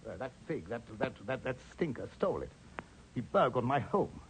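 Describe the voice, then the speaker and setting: An actor playing a pompous, excitable, scheming gentleman captain, florid and prone to stammering, in 1940s radio style. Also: mid-range voice, normal speed. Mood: agitated. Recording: slightly noisy vintage radio recording.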